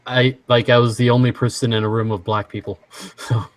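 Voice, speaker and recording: A young man from Washington state hosting a casual online chat, easygoing and quick to laugh as he reads quiz statements. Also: medium pitch, level tone, no echo. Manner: easygoing, laughs often, halting restarts. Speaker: stream host.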